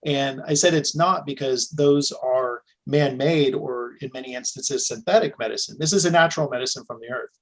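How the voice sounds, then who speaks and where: A man's voice: medium pitch, normal speed, hardly any pauses, a bit bright, rough recording. A middle-aged man from the United States, a podcast guest telling stories in casual, chatty conversation. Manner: chatty storytelling, with restarts.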